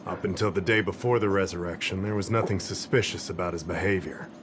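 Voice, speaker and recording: An actor playing a casual, chatty middle-aged security officer who rambles a little in a game cutscene. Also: male voice, sits low, quick pace, background noise, close to an everyday voice. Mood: explaining. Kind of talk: game voice acting. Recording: game cutscene with music behind.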